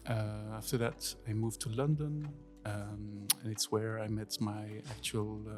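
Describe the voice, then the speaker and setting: A man's voice: on the low side, little pitch movement, a little slow, a little mellow. Hesitant Swiss man, faint music behind. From Switzerland. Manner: hesitant, many fillers, non-native phrasing. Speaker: guest workshop teacher. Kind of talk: casual interview.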